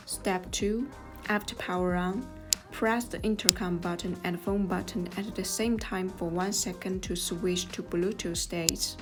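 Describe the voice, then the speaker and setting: A woman reading scripted step-by-step instructions as a tutorial narrator, in a measured, neutral manner. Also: lowish voice, steady tone. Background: music.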